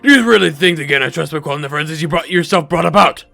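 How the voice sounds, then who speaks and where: A man's voice: fairly high pitch, lively intonation, fast talker, vocal fry, bright, forceful tone, faint music behind, heavily acted. A man voicing a stern, suspicious expedition commander for a fan-made game series, speaking formally and with authority. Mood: accusing.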